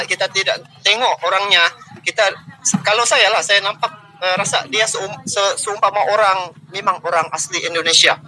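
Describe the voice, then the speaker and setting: Bright-voiced Malaysian man commenting. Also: high-pitched, rough recording. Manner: chatty, with frequent "uh" fillers. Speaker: reaction video host. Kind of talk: casual commentary.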